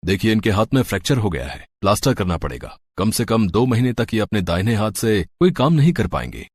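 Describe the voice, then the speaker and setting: A man's voice: on the low side, big pitch swings, fairly quick, a little mellow, no room sound. A man voicing a matter-of-fact doctor in a cartoon moral story, formal and businesslike.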